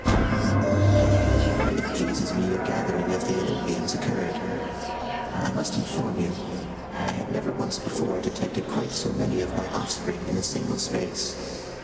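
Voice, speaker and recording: An actor playing an ancient, detached primordial deity in a video game, speaking in a stilted, solemn, precise way. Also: male voice, sits low, flat delivery, unhurried, long pauses, loud music behind the voice, poor audio. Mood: detached, approving. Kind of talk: video game character dialogue.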